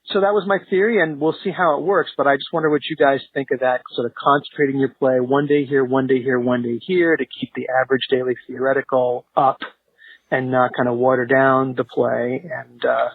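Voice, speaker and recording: A man's voice: medium pitch, steady tone, brisk, husky, no room sound. A man leaving an informal voicemail by phone, explaining things to show hosts. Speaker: voicemail caller. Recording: muffled narrowband phone voicemail.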